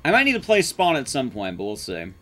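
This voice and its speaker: A man's voice: mid-range voice, huge pitch swings, a little fast, bright, forceful tone, faint music behind. A man giving casual live commentary as he plays a game, swearing and muttering asides to himself. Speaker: streamer, speedrunner. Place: indoor room, streaming setup.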